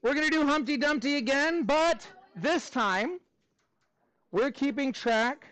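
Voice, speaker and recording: A man teaching a middle school class, talking to students in an informal, animated and encouraging way. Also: extremely high pitch, a little slow.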